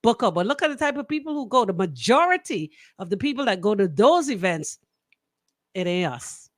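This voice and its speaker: A woman's voice: medium pitch, very animated, a little fast, no echo, studio quality. A woman with a Bahamian accent hosting casual, opinionated radio banter in an emphatic, colloquial way, often throwing out rhetorical questions. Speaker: radio talk show host. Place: radio studio.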